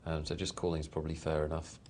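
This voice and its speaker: A man's voice: rumbling low, completely flat delivery, rapid-fire, darkish tone, slight background noise. A man hosting a live poker show, commenting on hands in a chatty, hesitant way with lots of fillers. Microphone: studio mic.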